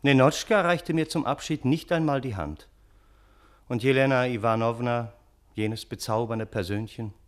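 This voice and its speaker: An actor playing a wry, long-suffering, courteous gentleman who narrates a radio play. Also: mid-range voice, moderate pace, close to an everyday voice. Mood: wry, measured. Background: balalaika music.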